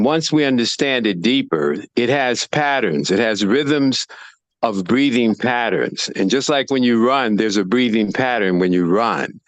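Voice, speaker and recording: A man's voice: mid-range voice, no echo. Older man explaining earnestly on a call. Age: 70s. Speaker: breathing practitioner. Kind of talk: casual chat. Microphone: video call.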